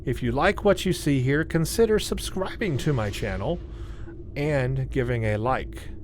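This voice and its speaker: A man's voice: medium pitch, lively intonation, measured pace. Man hosting game tour, noisy background. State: voice tired, starting to give out. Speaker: gaming video host. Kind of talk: casual game tour commentary, reading on-screen text aloud.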